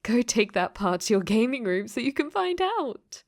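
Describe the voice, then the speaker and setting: A woman's voice: on the high side, very animated, moderate pace, vocal fry. A woman playing a clingy, possessive dating sim AI that has become sentient, in an intimate ASMR roleplay that often slips into whispers. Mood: coaxing, sly.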